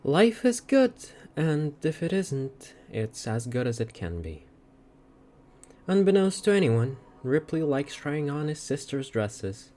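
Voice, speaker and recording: A woman giving a dramatic scripted narration of a comic, with literary, deliberate delivery. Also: sits low, big pitch swings, dark tone.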